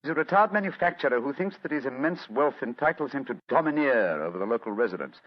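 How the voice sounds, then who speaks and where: An actor playing an aging, retired British detective with a keen, commanding, theatrical manner in an old radio drama. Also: male voice, mid-range voice, lively intonation, fast talker, close to an everyday voice. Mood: brisk, animated. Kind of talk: 1940s radio acting. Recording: dull old radio recording.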